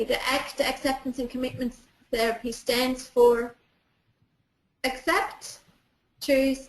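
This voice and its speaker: A woman with an Austrian German accent gives an online presentation as a clinical psychologist, explaining patiently and pausing on frequent ums. Her voice sits high, and the sound rings around an echoey room.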